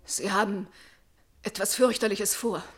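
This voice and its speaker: An actress playing a fearful, trapped young princess who longs for life, pleading breathlessly in a classical radio tragedy. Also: female voice, medium pitch, fairly even intonation, measured pace, slightly rough. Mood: fearful, urgent. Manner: pleading, breathless, fearful, rising into desperate outcry. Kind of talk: classical German stage-tragedy radio acting.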